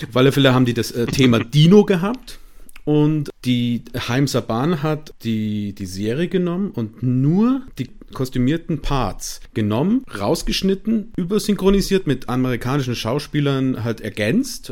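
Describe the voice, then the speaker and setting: A man's voice: mid-range voice, sing-song, normal speed, a little mellow. Rambling enthusiastic German man. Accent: regional German dialect. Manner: enthusiastic, rambling with fillers and restarts. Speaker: guest explaining a topic. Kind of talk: casual podcast chat.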